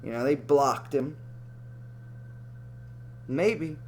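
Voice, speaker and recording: A man's voice: on the high side, extremely slow, slight background noise. A man giving casual, profane and sarcastic reaction commentary over a video clip.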